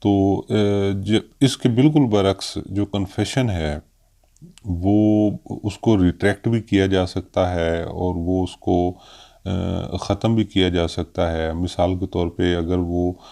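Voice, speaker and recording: A Pakistani man explains law in a very low, mellow voice. He lectures haltingly, pausing to search for words, and drops English terms into his explanation. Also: steady tone, vocal fry. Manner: halting, with frequent hesitations.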